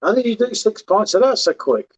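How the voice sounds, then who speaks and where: A man's voice: high voice, very animated, fairly quick, husky. A man hosting a casual livestream, bantering and joking while reading viewer messages. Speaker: beer reviewer livestream host. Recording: dull lo-fi livestream audio.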